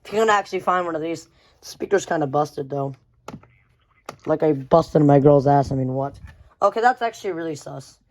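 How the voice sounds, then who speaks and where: A woman's voice: low-pitched, expressive, brisk, a little mellow. A woman giving a casual, unscripted show-and-tell of a collection, rambling and often repeating phrases. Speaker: radio collector showing a collection.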